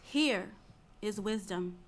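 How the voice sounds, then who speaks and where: A woman reading as a solemn Greek tragedy chorus speaker, measured and declamatory. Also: medium pitch. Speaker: chorus member.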